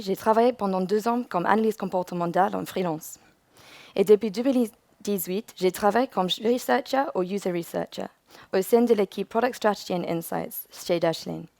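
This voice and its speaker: A woman's voice: mid-range voice, darkish tone, no room sound. A woman from England, a native English speaker with an English accent, giving a prepared conference presentation as a user researcher.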